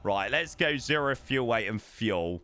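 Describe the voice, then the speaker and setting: A young man chatting casually to his live-stream viewers, telling stories with lively, animated delivery and answering chat. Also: medium pitch, a little slow. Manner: chatty, animated storytelling, reads chat aloud. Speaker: flight sim streamer.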